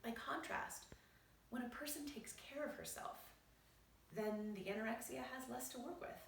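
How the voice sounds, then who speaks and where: A woman physician reading aloud from her own book in a measured, explanatory way. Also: on the high side, vocal fry, recorded from a distance, a little hiss or hum. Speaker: physician and author. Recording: roomy echo, voice set back from the mic.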